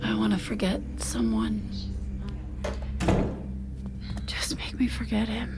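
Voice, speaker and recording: A woman's voice: medium pitch, very animated, normal speed, heavy background noise. An actress playing a guarded, bitter woman in a naturalistic audio drama, curt, profane and confrontational. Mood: low, needy. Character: guarded, bitter, sharp-tongued woman with secrets.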